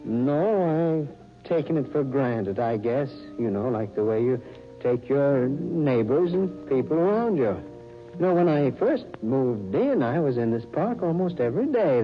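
An actor playing a polite, reserved gentleman in a 1940s radio drama, hesitant and wistful. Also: medium pitch, very animated, measured pace. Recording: dull old radio recording with music.

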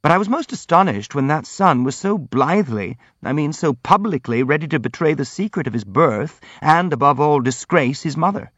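A man voicing a gentle, timid young prince in an audiobook, speaking politely and earnestly. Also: on the high side, expressive, moderate pace, few pauses, no room sound, lo-fi sound, voice pushed up. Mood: hasty, apologetic. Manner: polite, earnest, hastily apologetic.

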